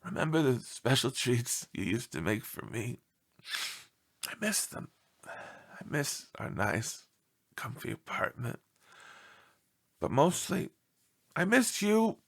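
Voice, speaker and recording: A man voicing a self-pitying, melodramatic pet dog in a storybook, with theatrical, mournful delivery and breathy sighs. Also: medium pitch, very animated, slow, pauses often, full character voice. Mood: suffering, dramatic. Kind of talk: storybook read-aloud character.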